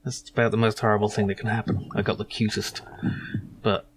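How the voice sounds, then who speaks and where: A man's voice: lowish voice, little pitch movement, brisk, noisy background. A man giving casual, musing commentary as he plays a game. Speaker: let's play host. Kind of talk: casual game commentary, reading lines aloud.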